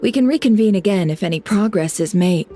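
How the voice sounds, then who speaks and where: A woman voicing a composed, shrewd intel broker in a video game, speaking in a formal, measured, coolly teasing way. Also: mid-range voice, lively intonation, loud music behind the voice, close to an everyday voice. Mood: calm, businesslike. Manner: formal, measured, understated menace.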